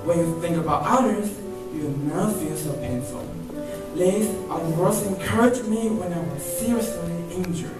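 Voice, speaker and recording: A woman's voice: fairly low pitch, moderate pace, close to an everyday voice. A young woman playing an earnest, grateful war-veteran father in a student radio play, recorded in an echoey hall. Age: young adult. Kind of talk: student radio drama acting. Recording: very echoey hall, distant mic, music behind.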